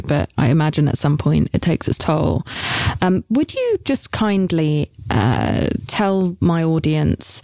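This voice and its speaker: A woman's voice: sits low, gravelly, dry recording. A woman hosting an interview podcast, introducing her guest effusively and speaking appreciatively. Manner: warm-hearted, effusive, appreciative. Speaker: podcast host.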